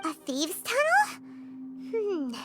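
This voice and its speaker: A woman's voice: extremely high pitch, huge pitch swings, slow pace, over music, heavily acted. A woman voicing a small, chirpy, nervous childlike fairy companion in a video game. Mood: uneasy, curious. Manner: speaks of self in third person.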